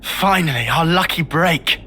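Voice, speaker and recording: An actor playing a brash, excitable young soldier in a game cutscene, blunt and informal. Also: male voice, a little high, unhurried, a little hiss or hum. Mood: blunt, frustrated. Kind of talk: video game cutscene acting.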